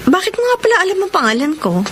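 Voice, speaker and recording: A woman's voice: very high-pitched, wildly swooping pitch, very fast, a little hiss or hum, full character voice. An actress playing a devoted, hardworking wife of a sick man in a Filipino radio drama, with music playing under her. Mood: friendly. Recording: loud music bed under voice.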